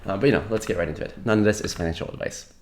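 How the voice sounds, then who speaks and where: Low-voiced young man, rambling. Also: very fast, a little mellow. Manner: rambling, heavy fillers and restarts. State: slightly sick. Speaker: crypto market commentator. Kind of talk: casual market update monologue.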